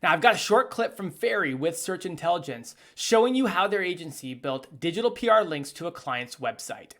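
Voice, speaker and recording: Brisk friendly sponsor read. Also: mid-range voice, expressive, a bit bright.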